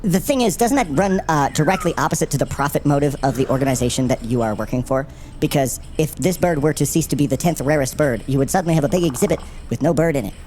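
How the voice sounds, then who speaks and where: A woman plays an argumentative museum visitor with a notably low voice. She is skeptical and deadpan, rambling and repeating words as she needles, in naturalistic audio comedy with faint background noise. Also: fast talker, close to an everyday voice. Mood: pedantic, probing.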